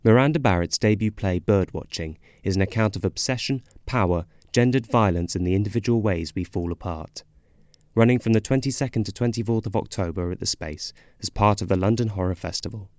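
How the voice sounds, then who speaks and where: A man's voice: on the low side, brisk, a little mellow, dry recording, clean studio sound. A man reading scripted, dramatic promo narration for a stage show. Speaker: promo voice-over.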